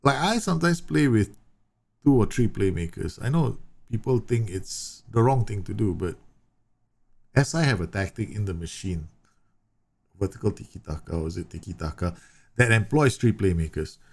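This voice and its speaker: A man from Singapore talks through a football management game on a livestream. His voice sits low and carries a gravelly fry. He explains at length, circling back with fillers and self-corrections. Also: lively intonation, normal speed. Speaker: streamer. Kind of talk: live game commentary answering chat.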